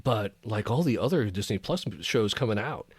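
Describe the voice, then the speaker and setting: A man's voice: fairly low pitch, lively intonation, moderate pace, breathy edge, no echo. A man co-hosting a podcast in casual fan chat, effusive and emphatic, often repeating his phrases. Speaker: podcast co-host.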